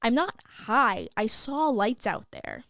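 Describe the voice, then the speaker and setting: Indignant teenage schoolgirl, played by a woman, high-pitched, defensive and slangy, audio drama, very dry sound. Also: wildly swooping pitch, takes it slowly, gravelly, a bit bright, dry recording, full character voice. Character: defensive, rattled teenage schoolgirl in a group chat. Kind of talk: acted reading of chat messages.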